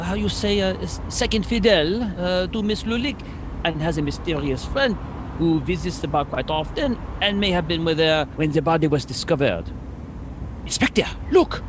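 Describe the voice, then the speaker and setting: A man playing a halting, deferential French police sergeant in a comic radio mystery, with a French accent. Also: on the high side, noisy background, lightly acted. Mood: excited. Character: eager, hesitant French male police sergeant sidekick.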